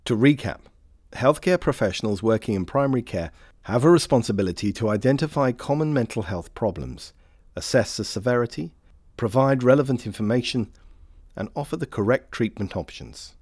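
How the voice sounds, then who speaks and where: A man reading scripted instructional narration for a training film in a measured, formal, carefully enunciated way. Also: mid-range voice, expressive, a little mellow, no echo, studio quality.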